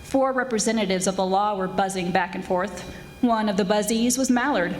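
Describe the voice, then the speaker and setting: A woman playing a witty, tough private detective in a 1940s-style radio drama, with brisk wisecracking narration. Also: mid-range voice, slight background noise, natural voice, low-quality recording. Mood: cool, wry.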